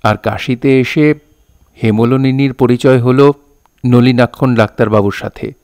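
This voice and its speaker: A man's voice: mid-range voice, fairly even intonation, unhurried, a little mellow, smooth, no room sound, clean studio sound, close to an everyday voice. A man playing a solemn, sensitive poet who narrates his own novel in a reflective, literary way. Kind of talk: dramatised literary storytelling.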